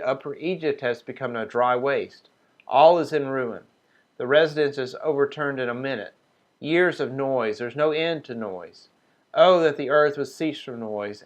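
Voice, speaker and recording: Male teacher, mid-range voice, deliberate reading, relaxed off-the-cuff explaining. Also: mid-range voice. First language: not Hebrew. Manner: reads quotations slowly, then talks loosely with fillers and restarts. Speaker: Sabbath group teacher. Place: small group meeting room.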